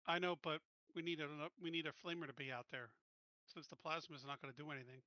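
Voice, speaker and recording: A man's voice: a little high, fast, sharp tone, no echo. Male tabletop player, casual tactical chat. Piercing, clean tone on a dull, dry recording. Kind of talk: casual tactical chat during game play.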